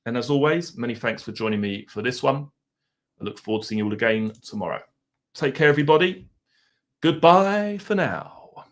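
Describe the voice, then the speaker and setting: A man with an Essex accent hosts a live stream in a loose, rambling way. His pitch sits in the middle of the usual range. He drifts between banter with viewers and reading out their messages, with the odd mild swear word. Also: lively intonation, moderate pace.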